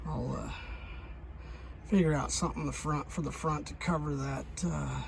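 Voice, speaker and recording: Middle-aged man, slightly high gravelly voice, halting casual DIY vlog, plain-spoken, noisy vehicle ambience. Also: steady tone. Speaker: truck driver, DIY vlogger.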